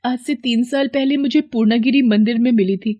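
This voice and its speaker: A woman voicing a poor village mother in an animated story, speaking tenderly and tearfully. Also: fairly high pitch, brisk, slight background noise, lightly acted.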